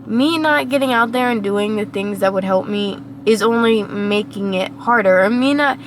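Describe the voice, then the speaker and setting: A young woman with a cold-hoarse, raspy voice, rambling reflectively to her camera while sitting in her car. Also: medium pitch, moderate pace, slight background noise. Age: young adult. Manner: rambling, reflective, many fillers. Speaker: vlogger. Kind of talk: casual vlog monologue. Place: inside a parked car.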